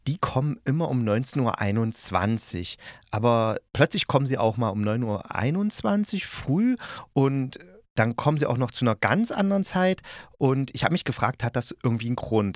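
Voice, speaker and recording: A man's voice: mid-range voice, no echo. A male podcast host with a mid-range voice gives a casual spoken review, meandering and thinking aloud. The sound is close and dry, with no room around the voice.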